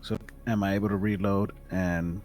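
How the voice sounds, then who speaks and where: Male player, fairly low voice, casual and questioning tabletop chat, light music behind. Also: flat delivery, normal speed, a little mellow.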